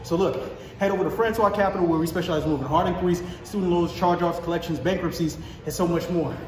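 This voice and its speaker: A man reading an upbeat promotional pitch in a pushy, salesy way. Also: fast talker, echoey room, long reverb tail, recorded from a distance, rough recording. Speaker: ad announcer.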